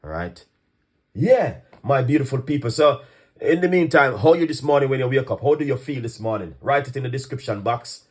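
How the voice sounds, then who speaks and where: Fast-talking Jamaican man, cooking host. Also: mid-range voice. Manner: lively, talks fast, greets viewers by place, laughs often. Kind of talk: casual live-stream cooking chat.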